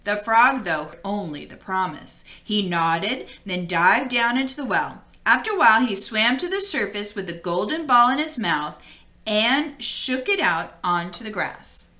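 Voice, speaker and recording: A woman reading a fairy tale aloud as an expressive storyteller, with deliberate dramatic emphasis. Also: mid-range voice. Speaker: storybook reader.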